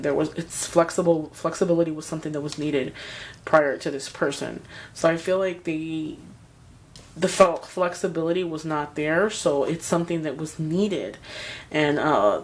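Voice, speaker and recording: A woman with a scratchy, hoarse voice giving a tarot reading, talking straight to her viewers in a hesitant, rambling way. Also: low-pitched, a little mellow. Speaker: tarot reader.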